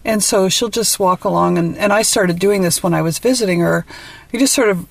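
A woman's voice: mid-range voice, fairly quick, creaky. A woman talking casually as a podcast interviewer.

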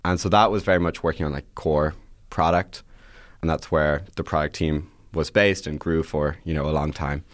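A man's voice: rumbling low, fairly even intonation, normal speed, no room sound, studio quality. A man, a podcast guest chatting casually and scattering "like" through his sentences. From Ireland. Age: 20s. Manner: rambling, with frequent "like" fillers. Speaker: podcast guest, startup CEO. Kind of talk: casual interview chat.

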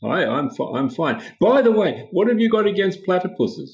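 A man from Sweden who grew up in Australia, a guest explaining ideas over a remote call, hesitant with frequent restarts. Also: very animated. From Sweden, raised in Australia. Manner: halting, restarts often, rising pitch on openers. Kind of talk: casual technical interview.

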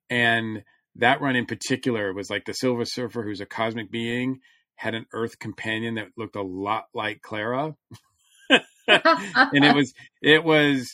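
A man's voice: fairly low pitch, sharp tone, no echo, studio quality. A man co-hosting a podcast, chatting casually like an enthusiastic fan. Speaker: podcast co-host reviewing comics. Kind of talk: casual chat.

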